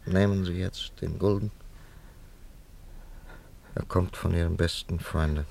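An actor playing a stern, reserved gentleman friend in a German radio drama, formal and admonishing in manner. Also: deep voice, fairly even intonation, takes it slowly, vocal fry, a little hiss or hum, full character voice. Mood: firm, resigned.

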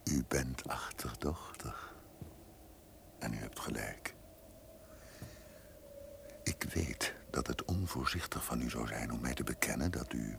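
A conspiring count in a radio play, with a rumbling, very low voice and heavy creak. He insinuates and schemes with deliberate, resentful menace. The old recording carries some noise. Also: heavily acted. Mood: sly, probing. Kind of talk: Dutch radio drama acting.

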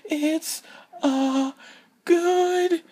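A man's voice: very high-pitched, steady tone, drawn-out words, warm tone. A man hosting a solo podcast, rambling in circles and repeating himself, swearing casually, after almost a full day without sleep. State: sleep-deprived, up almost 24 hours. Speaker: podcast host.

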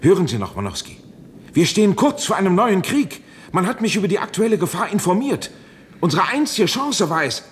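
An agonized, animated professor. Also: male voice, sits high, fairly quick, slight background noise. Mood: urgent, impassioned. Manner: urgent, emphatic, pleading. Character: agonized older male scientist, professor, driven and self-justifying. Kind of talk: 1970s German radio play acting.

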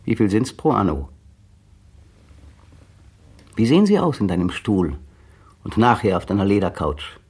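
Casting: a bitter, brooding radio-play narrator, low husky voice, very lively pitch. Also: male voice, moderate pace, long pauses, full character voice. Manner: brooding, bitter, precise diction. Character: tense bitter man brooding on his partner. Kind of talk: 1960 radio drama inner monologue.

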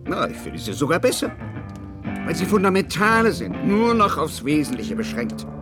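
An actor playing a drunken, self-pitying old painter in a German radio drama, speaking in a theatrical, declamatory way. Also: male voice, on the high side, sing-song, normal speed, over music. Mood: bitter, self-pitying. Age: older. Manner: theatrical, declamatory, emotionally volatile.

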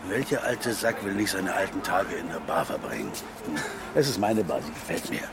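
A man's voice: deep voice, lively intonation, moderate pace, vocal fry. A man playing a stubborn, contented old bar owner in a radio drama, speaking plainly and tersely. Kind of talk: naturalistic radio drama acting. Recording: noisy outdoor traffic ambience.

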